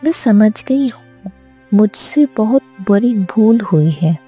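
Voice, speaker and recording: A woman's voice: mid-range voice, expressive, takes it slowly, warm tone, breathy edge, faint music behind. A woman voicing a vain young princess in a cartoon story, with a self-important, dramatic manner. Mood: pleading.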